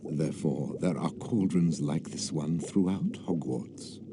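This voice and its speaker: Very deep, creaky, sneering British schoolmaster. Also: male voice, noisy background. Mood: cold lecturing. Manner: slow, deliberate, condescending delivery. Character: stern, sneering male potions teacher at wizard school.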